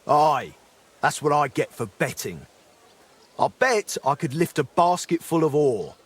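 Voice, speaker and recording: An actor playing a remorseful middle-aged former blacksmith in a dramatic game, speaking in an earthy, apologetic way. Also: male voice, fairly high pitch, very animated, unhurried, breathy edge, heavily acted. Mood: rueful, earthy.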